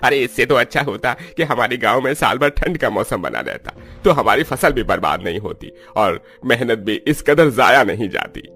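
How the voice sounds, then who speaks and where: A man's voice: medium pitch, expressive, normal speed, few pauses, fairly bright tone, slightly rough. A man playing a poor, discontented village farmer in a cartoon story, grumbling in plain rustic speech. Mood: frustrated.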